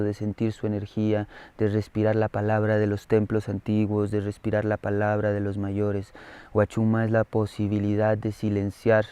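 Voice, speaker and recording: A man from Colombia with a Colombian Spanish accent, speaking in a slow ceremonial way with rhythmic, repeating phrases. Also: on the low side, darkish tone, clear, resonant tone, no echo. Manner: rhythmic, repetitive, incantatory phrasing. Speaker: traditional medicine speaker. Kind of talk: slow ceremonial spoken reflection.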